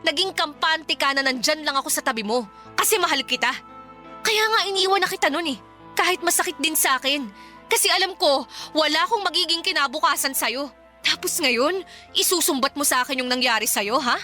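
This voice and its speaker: Scornful young ex-girlfriend, high sharp voice, blunt scolding delivery, radio drama, music underneath. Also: expressive, brisk. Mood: angry, reproachful. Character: Yeri, cold, exasperated young woman confronting her ex. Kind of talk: Filipino radio drama acting.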